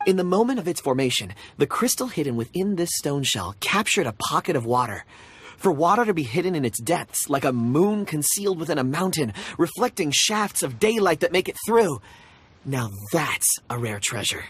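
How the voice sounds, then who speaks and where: A man's voice: a little high, sing-song, moderate pace, a bit bright, breathy edge, no room sound, full character voice. An actor playing an affable, well-spoken wanderer in a video game, courteous and theatrical in his eloquence. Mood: admiring. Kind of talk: video game character acting.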